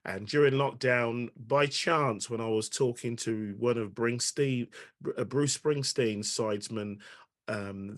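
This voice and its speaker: Man in his sixties, chatty guest. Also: medium pitch. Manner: friendly, stumbling restarts, many ums. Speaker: pianist and guest speaker. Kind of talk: casual self-introduction talk. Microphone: video call.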